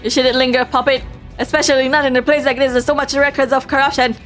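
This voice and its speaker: Woman playing male puppeteer, visual novel character, controlling, coaxing, insistent, lightly pitched voice, faint music. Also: flat delivery, fast, fairly bright tone. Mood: warning. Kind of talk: visual novel dialogue read aloud.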